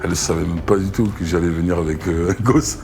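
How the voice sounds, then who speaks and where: A middle-aged man recounting personal memories in an interview, halting and repeating words as he goes. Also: deep voice, background noise. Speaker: rugby mentor and recruiter. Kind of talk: emotional anecdotal interview. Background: background music.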